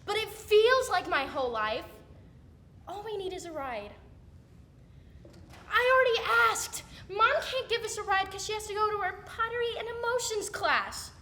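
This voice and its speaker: A very high-pitched, gushing teenage girl acted by a woman in an amateur dramatic monologue. She pleads and bargains theatrically, over faint rumbling background noise. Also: sing-song, normal speed, fairly bright tone, exaggerated voice. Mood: pleading. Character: excitable teenage girl pleading with a sibling for a ride.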